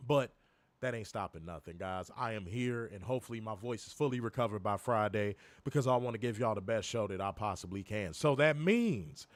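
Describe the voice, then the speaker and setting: A young man co-hosting a wrestling review stream, hoarse from illness, cursing and joking straight to his viewers. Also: lowish voice, fairly quick, dry recording. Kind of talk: casual live-stream chat. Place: home, in Chicago.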